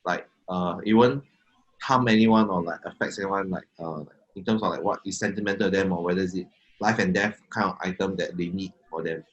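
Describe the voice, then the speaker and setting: Singaporean guy in his 20s, lowish voice, lots of uh and like. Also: a little fast, dull audio. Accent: Singaporean English. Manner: hesitant, many fillers and restarts. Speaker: panel guest. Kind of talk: casual group chat.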